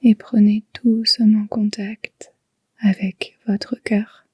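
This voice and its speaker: Female yoga nidra guide, soft and extremely airy, mellow tone, unhurried and calming, recorded dry. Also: mid-range voice, no room sound. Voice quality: soft, breathy, whispery. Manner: soothing, hushed, unhurried. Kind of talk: slow guided relaxation meditation.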